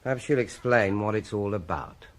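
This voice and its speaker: An actor playing a dogged, formal British police inspector in a radio drama, measured and probing. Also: male voice, fairly low pitch, lightly acted. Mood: firm, probing. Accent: British English.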